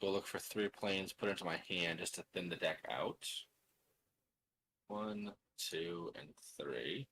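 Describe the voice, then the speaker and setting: A man's voice: low-pitched, unhurried, pushed and bright, a little hiss or hum, rough recording. A man casually narrating his own moves in a tabletop card game, muttering and counting aloud as he thinks. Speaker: card game player and streamer.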